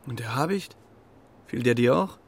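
A man playing an easygoing, teasing pigeon pal in a children's radio play, with gravel in the voice and a clipped, offhand manner. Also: medium pitch, expressive, moderate pace, a little hiss or hum.

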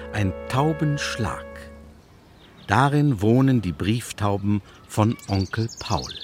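A man narrating a scripted children's story in short, deliberate phrases. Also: medium pitch. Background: prominent guitar music.

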